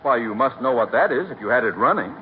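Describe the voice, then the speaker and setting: Dull, hissy old radio broadcast, man as confident town mayor, formal wording, animated intonation. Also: medium pitch, fast, lightly acted. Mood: puzzled. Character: self-assured, dignified small-town mayor. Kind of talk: 1940s radio comedy acting.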